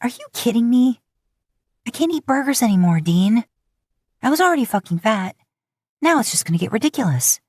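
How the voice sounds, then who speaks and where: A woman's voice: on the high side, big pitch swings, brisk, long pauses, darkish tone, slightly rough, dry recording, studio quality, voice pushed up. A woman playing a self-doubting, prickly young pregnant woman with a plaintive manner in audio fan fiction. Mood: tearful, bitter. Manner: defensive, plaintive.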